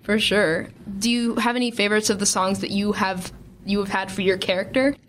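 Woman, average pitch, informal podcast host, chuckly, faint ambient noise. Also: fairly even intonation, fairly quick. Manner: informal, chuckling, agreeing often. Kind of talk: casual chat.

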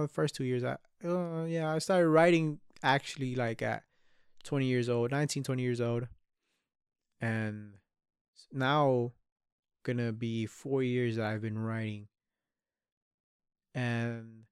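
Candid young man, smooth clear voice. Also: medium pitch, no echo, studio quality. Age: 20s. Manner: candid, swears often, trails off and restarts. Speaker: podcast host. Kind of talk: solo reflective podcast monologue.

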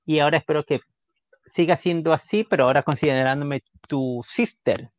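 A woman's voice: sits low, a little fast, darkish tone, lo-fi sound. A woman from Ecuador with a Guayaquil accent, a guest on a podcast, talking in a friendly, open and casual way. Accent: Guayaquil Ecuadorian Spanish. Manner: friendly, open, laughs easily. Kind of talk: casual conversational interview.

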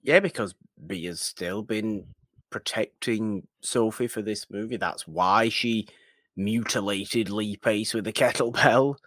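Man, podcast co-host, fairly low pitch, casual film discussion, halting phrasing, dry sound. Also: a bit bright, no room sound.

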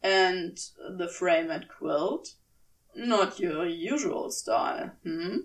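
A woman voicing a laconic male demon who questions in a low, slow drawl. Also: recorded from a distance. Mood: probing.

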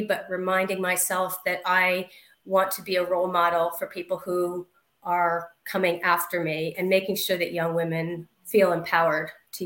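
A woman's voice: fairly low pitch, a bit bright, reverberant. A woman in her fifties speaking as a panel guest, earnest and reflective as she talks about her work and her values. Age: 50s. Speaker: guest, activist. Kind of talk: panel discussion.

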